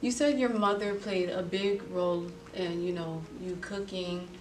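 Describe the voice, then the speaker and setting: A woman's voice: lowish voice, fairly even intonation, measured pace, a little mellow, far from the mic. A woman hosting a podcast, interviewing a guest in a casual, friendly way, chatty with fillers and frequent laughs. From South Florida. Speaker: podcast host.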